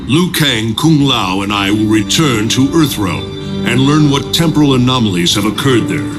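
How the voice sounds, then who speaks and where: A man playing a noble, calm protector god in a video game cutscene, formal and dignified. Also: medium pitch, moderate pace, over music, lightly acted. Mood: reassuring, resolute.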